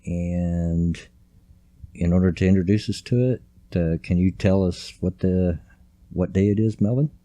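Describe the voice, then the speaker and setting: An older man speaks in a very low, dark, mellow voice, folksy and rambling. The recording is dry and close, with faint music underneath. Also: measured pace, no room sound. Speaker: vlogger. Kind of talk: casual grumpy commentary. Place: home at night, quiet room.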